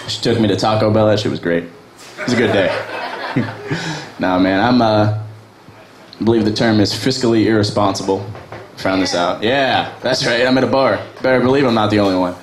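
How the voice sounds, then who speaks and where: A man's voice: on the low side, normal speed, long pauses. Young male stand-up, Virginia, crude and self-deprecating, echoey bar room. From Virginia, USA. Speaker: stand-up comic. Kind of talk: open mic stand-up set. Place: small bar open mic stage. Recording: echoey bar with background noise.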